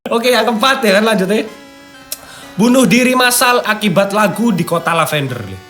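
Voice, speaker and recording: A man's voice: sits high, lively intonation, moderate pace, a bit bright. A young man with an East Javanese accent hosts casually, mixing read-out script with informal, slangy asides. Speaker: host. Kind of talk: casual chat mixed with reading from a script. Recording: music playing behind the voice. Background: background music.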